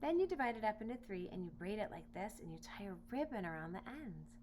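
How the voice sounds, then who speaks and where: A woman playing a polite, quick-witted little boy in a children's storybook, earnest and coaxing as he explains. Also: mid-range voice, sing-song, a little mellow, natural voice. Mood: eager, helpful. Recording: noisy home recording with hum.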